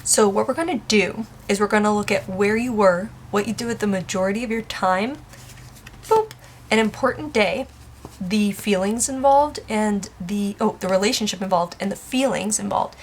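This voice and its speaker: A woman's voice: medium pitch, normal speed, a little hiss or hum. Congested woman giving a rambling card reading. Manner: rambling, searching for words, soft whispered asides. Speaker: past life card reader. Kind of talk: casual spoken tarot-style reading.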